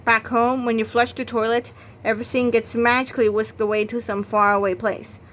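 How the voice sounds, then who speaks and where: Female narrator for a children's comic novel read-aloud, voice a little high, recorded with some background noise. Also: flat delivery, brisk, fairly bright tone. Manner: acts out sound effects and exclamations. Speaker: book narrator.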